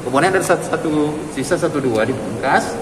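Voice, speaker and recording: Middle-aged male prosecutor, halting explainer, recorded on handheld phones in an echoey hall. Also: on the high side, lively intonation, far from the mic. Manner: halting, restarts mid-word, explaining patiently. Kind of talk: press doorstep interview. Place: indoor courtroom hallway. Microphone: reporters' phones held close. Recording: echoey room, loud background noise.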